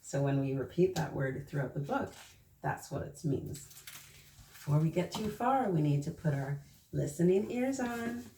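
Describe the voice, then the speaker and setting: A woman hosting children's story time, reading aloud and talking to young listeners in an expressive, encouraging way. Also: deep voice, moderate pace. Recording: echoey room, distant mic, faint noise.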